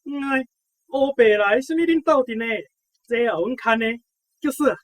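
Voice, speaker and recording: An actor playing a cheeky, teasing young soldier with a Taiwanese accent in a radio drama. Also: male voice, very high voice, moderate pace, a bit bright. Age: young adult.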